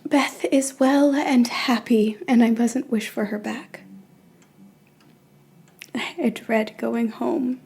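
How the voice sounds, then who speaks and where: A woman playing a tender, grieving young woman in an audio play, with a soft, breathy voice. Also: a little high, fairly even intonation, slow, long pauses, heavily acted. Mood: hushed grief.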